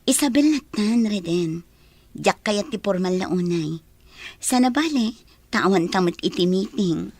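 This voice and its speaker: A woman's voice: mid-range voice, animated, unhurried, a little mellow, no room sound. A woman acting a friendly, flirtatious, informal businesswoman with an Ilocano accent in a radio drama. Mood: warm, flattering.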